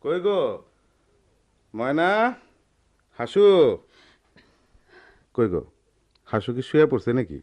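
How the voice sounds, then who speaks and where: A man's voice: mid-range voice, big pitch swings, slow pace, long pauses, a little mellow. An actor playing a humble middle-aged goldsmith and father with a Dhaka-area Bangladeshi accent, earnest and avuncular in a radio drama. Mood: tender, concerned. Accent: Bangladeshi, Dhaka-area dialect. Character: patient, humble ageing master goldsmith and gentle father.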